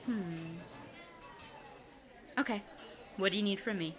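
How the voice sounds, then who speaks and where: A woman's voice: mid-range voice, slow, long reverb tail, some chatter behind, lightly acted. A woman playing a sarcastic, exasperated teenage girl, dry and sceptical, in an audio drama. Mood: exasperated, nagging. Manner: dry, sardonic, nagging.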